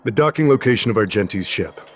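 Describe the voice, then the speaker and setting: A man's voice: fairly low pitch, lively intonation, soft background music, close to an everyday voice. A man playing a dithering official in a video game, hesitant and drawing out his words. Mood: hesitant, pondering. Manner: hesitant, drawn-out. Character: slow, dithering male official.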